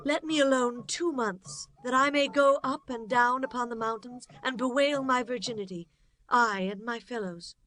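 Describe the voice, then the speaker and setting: A woman playing a dutiful, sorrowful young daughter in a dramatized scripture recording, speaking softly and submissively. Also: on the high side, moderate pace, natural voice. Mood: sorrowful pleading. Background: music.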